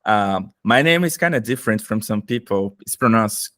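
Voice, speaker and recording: A man's voice: lowish voice, animated, moderate pace, a bit bright, no echo. Brazilian man with a dry close sound. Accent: Brazilian Portuguese. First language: Portuguese. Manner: enthusiastic, searching for words, frequent fillers. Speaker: podcast guest. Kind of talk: casual interview conversation.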